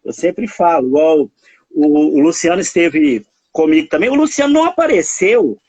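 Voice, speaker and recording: A man from hosts a live stream in casual chat. His voice sits high and swings widely in pitch. It has a husky, dry sound, and he leans on tag questions. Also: normal speed, no echo. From Minas Gerais, Brazil. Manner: warm, chatty, animated, frequent tag questions.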